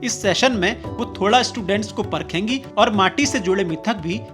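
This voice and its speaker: A woman's voice: medium pitch, moderate pace. A woman delivering scripted narration for a vlog. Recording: music under the voice. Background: background music.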